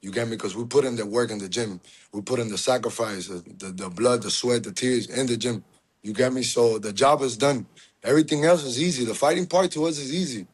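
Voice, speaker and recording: A man's voice: medium pitch, a little fast, breathy edge. Confident, humble man from Cuba. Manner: humble, confident, often says "you get me" and "yes sir". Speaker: fighter guest. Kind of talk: casual interview answers.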